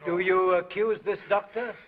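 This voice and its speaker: A man's voice: sits high, steady tone, normal speed, background noise, natural voice. Man playing stern police chief, curt questions, tinny thin old film sound. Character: stern police prefect, brisk interrogator.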